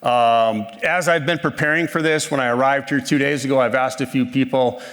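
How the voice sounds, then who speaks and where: A man's voice: mid-range voice, steady tone, fairly quick, a bit bright. Man in his fifties speaking in a reverberant hall. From northern Minnesota, USA. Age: 50s. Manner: self-deprecating, folksy, frequent ums and restarts. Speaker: conference speaker. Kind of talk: conversational presentation.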